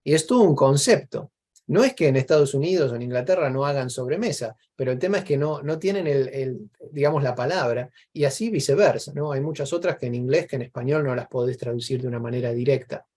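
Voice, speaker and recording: A man's voice: medium pitch, quick pace, a little mellow. A man with an Argentine accent in casual, reflective conversation as an interview guest, explaining things discursively with examples.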